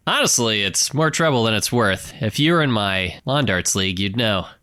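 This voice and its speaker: A man's voice: lowish voice, animated, normal speed, bright, forceful tone, clear, resonant tone, dry recording, studio quality. An actor playing a pompous, womanizing radio producer in a comedy audio drama, full of melodramatic boasting. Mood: smug boasting. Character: smug self-styled cool guy radio producer, womanizing braggart. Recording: music behind the voice.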